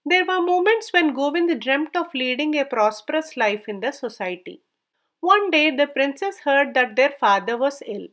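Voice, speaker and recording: A woman's voice: high-pitched, animated, normal speed, a bit bright. A woman narrating a scripted folk tale as a storyteller, reading it aloud.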